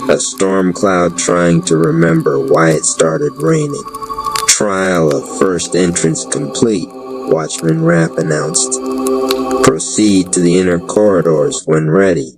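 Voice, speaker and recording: A man narrating a dark fantasy story in a measured, storytelling manner. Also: deep voice, lively intonation. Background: background music.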